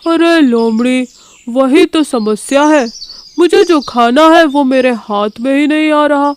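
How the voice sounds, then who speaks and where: A woman voicing a grumbling, hungry lion king in an animated moral story, with drawn-out, sulky delivery. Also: sits high, a little hiss or hum.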